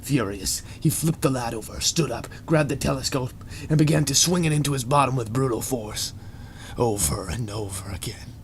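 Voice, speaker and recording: A man's voice: medium pitch, moderate pace. Man narrating a story over audible noise. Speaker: narrator of a fan fiction reading. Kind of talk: dramatic scripted narration.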